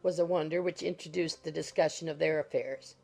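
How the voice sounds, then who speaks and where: A woman's voice: sits low, fairly even intonation, fairly quick, vocal fry, darkish tone, soft background music, low-quality recording. A woman reading scripted literary narration as the narrator of a classic audiobook.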